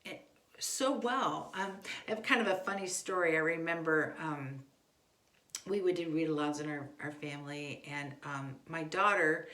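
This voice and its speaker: Low-pitched woman teaching in an echoey room. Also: normal speed, sharp tone. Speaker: homeschool instructor. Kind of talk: informal instructional talk. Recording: echoey, roomy sound.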